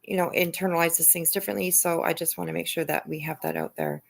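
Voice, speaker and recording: Woman, low-pitched, gravelly fry, halting delivery, casual livestream host. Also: very animated. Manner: hesitant, halting.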